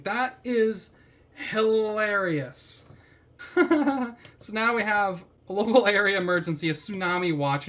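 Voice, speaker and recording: A woman hosting a casual product review, chatting in a wry, slangy and easily amused way. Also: mid-range voice. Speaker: product reviewer, vlog host.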